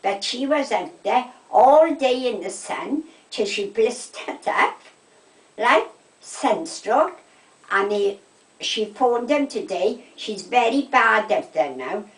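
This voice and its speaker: A woman, getting on in years, chats in a South Wales Valleys accent. She tells gossipy anecdotes in an animated way, often acting out who said what. The room echoes and she sits some distance from the microphone. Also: fairly high pitch, slow. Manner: animated anecdotes, quoting conversations, checking with "right?". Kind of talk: casual chat, gossipy storytelling. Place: indoors at home.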